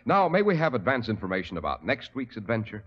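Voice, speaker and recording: A man's voice: on the low side, very animated, fast, breathy edge. A man working as a radio announcer in the style of 1940s broadcasts. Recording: muffled vintage radio recording.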